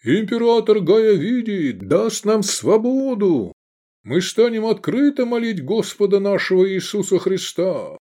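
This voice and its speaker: Man playing a high, declamatory zealot preacher. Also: big pitch swings, unhurried, mellow, full character voice. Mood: exalted, rousing.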